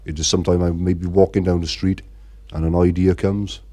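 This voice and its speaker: A man's voice: deep voice, level tone, a little fast, dark tone, slight background noise. A middle-aged man from Ireland, the band's frontman, talking in a casual interview and rambling with constant "you know" fillers. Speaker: band frontman.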